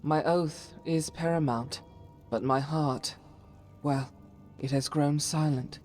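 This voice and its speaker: A woman's voice: low-pitched, steady tone, slow pace, darkish tone, soft background music. A woman playing a devout, solemn holy warrior in a fantasy game, speaking in formal, archaic and earnest phrases. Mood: solemn, regretful. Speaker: voice actor for a game companion. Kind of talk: dramatic scripted fantasy game dialogue.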